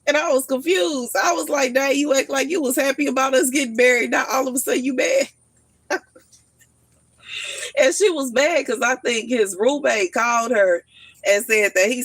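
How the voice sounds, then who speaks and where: A woman in her thirties on a casual livestream, telling stories in an animated, slangy way and laughing often. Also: on the high side, no echo, poor audio. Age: 30s. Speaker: livestream host.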